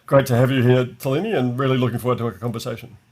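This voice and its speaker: Quick-talking man hosting a podcast. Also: medium pitch, fairly even intonation, darkish tone. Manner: friendly, conversational, fluent. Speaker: podcast host. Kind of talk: interview hosting.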